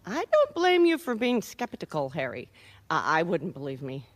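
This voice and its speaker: Hard-boiled wife, wry, brazen, worldly, wisecracking, highly expressive pitch, radio drama. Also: female voice, moderate pace. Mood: blasé, sardonic. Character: brazen, cynical, wisecracking wife hiring a private detective. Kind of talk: radio mystery acting.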